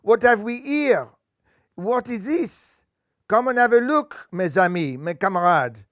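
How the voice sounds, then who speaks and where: A man voicing an excitable male cat chef who sprinkles French phrases into his lines. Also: unhurried, dry recording, studio quality. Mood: excited. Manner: theatrical French phrases, high pitched.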